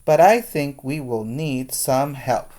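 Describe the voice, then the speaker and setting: A man voicing a young boy who blurts out news loudly and urgently. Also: medium pitch, expressive, slow pace. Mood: eager.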